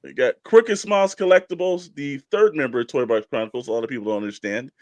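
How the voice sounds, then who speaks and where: A man's voice: medium pitch, quick pace, darkish tone, no echo. Man co-hosting with folksy hype, dry sound. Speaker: podcast co-host. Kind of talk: hype introductions of guests, casual live show.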